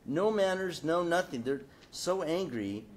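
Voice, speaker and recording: A man's voice: on the high side, lively intonation, unhurried. A man on a discussion panel telling a casual, rambling anecdote full of fillers, getting indignant as he goes.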